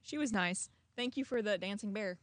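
A woman's voice: medium pitch, moderate pace, no room sound. A young woman hosting a livestream in casual chat, speaking into a handheld microphone outdoors. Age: young adult. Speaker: livestream host. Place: outdoors in a garden.

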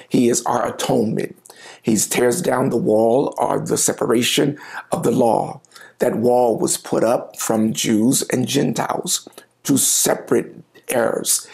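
A middle-aged man, a pastor, preaching a sermon to the camera from a home office, reading scripture and explaining it with lively gestures. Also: medium pitch, gravelly. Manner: animated gestures, reading and explaining scripture, occasional quiet asides. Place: home office with bookshelves, indoors. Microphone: lapel mic, seated at desk.

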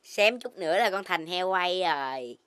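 An actor voicing a chubby, greedy, playful piglet boy in a children's cartoon, boisterous and excitable. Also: medium pitch, normal speed, very noisy, natural voice. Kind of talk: Vietnamese children's cartoon dubbing.

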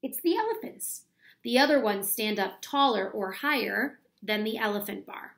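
A woman in her thirties reading a children's book aloud like a teacher, explaining deliberately and asking the young listeners questions. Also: a little high, animated, gravelly. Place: small plain room at home, indoors.

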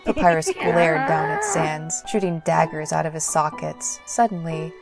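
A woman's voice: low-pitched, expressive, normal speed, creaky, loud music behind the voice. A woman reading scripted story narration as the narrator of an audio drama.

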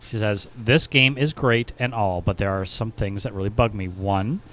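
A man's voice: fairly low pitch, normal speed, fairly bright tone. A man speaking casually as a podcast co-host into a studio microphone. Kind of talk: casual podcast chat, reading out reviews. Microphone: podcast microphone in a small studio.

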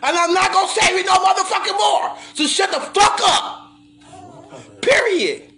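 A woman's voice: very high-pitched, a little slow, vocal fry, fairly bright tone. A middle-aged American woman on a casual livestream, ranting bluntly and emphatically with lots of swearing, repeating her points. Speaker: livestream host. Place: living room, indoors. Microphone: phone at arm's length. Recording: noisy phone livestream.

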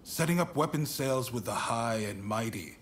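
An actor playing a shrewd, commanding shogunate official in a video game, authoritative, deliberate and a little wry. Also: male voice, mid-range voice, animated, slight background noise, natural voice. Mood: grave, approving. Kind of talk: video game cutscene acting.

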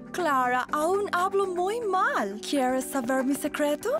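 A glamorous cartoon star model from abroad. Her very high voice moves slowly with lively swoops. She is playful and picks words deliberately, with music clearly playing behind her. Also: full character voice. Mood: gentle, confiding. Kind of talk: animated series dub in Spanish.

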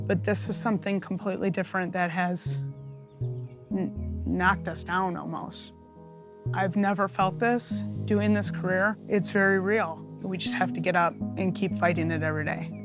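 A woman in her thirties, a paramedic, speaking in a plain-spoken, steady, matter-of-fact way in a documentary interview. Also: lowish voice, moderate pace, music in the background.

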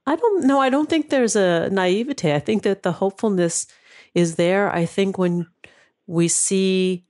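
A woman's voice: medium pitch, measured pace, a little mellow. A woman hosting an interview show, speaking in an earnest, affirming, reflective way. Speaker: host. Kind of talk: interview talk.

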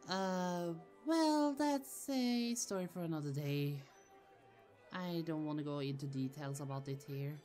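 Woman playing a low-pitched protective sorceress sister. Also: unhurried, warm tone. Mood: reassuring, confiding. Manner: affectionate, chatty, firm when provoked. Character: protective, easily annoyed young sorceress older sister in fantasy world. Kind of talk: ASMR roleplay acting. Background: music.

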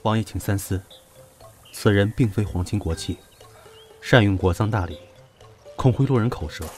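A man's voice: fairly low pitch, moderate pace, darkish tone, soft background music, natural voice. A man playing a loyal, wary royal bodyguard in a Chinese costume audio drama, speaking formally and curtly. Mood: formal doubt. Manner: restrained, formal, curt.

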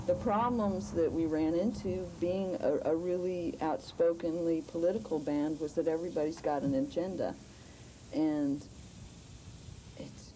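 A young woman band member in a documentary interview on a porch outdoors, reflective and halting, often restarting. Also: low-pitched, mellow, a little hiss or hum, poor audio.

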